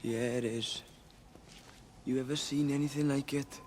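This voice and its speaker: A man acting a young working-class father for a story-driven game, with a mid-pitched voice. He is blunt and irritable, speaking naturally over faint ambience. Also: steady tone, moderate pace, darkish tone, slight background noise, lightly acted. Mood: relaxed, matey.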